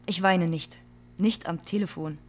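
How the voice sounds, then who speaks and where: Bitter, frightened young migrant cleaner, low-voiced. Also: female voice, steady tone, measured pace. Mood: bitter, weary. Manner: terse, insistent repetition. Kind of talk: radio drama monologue in short, stark sentences.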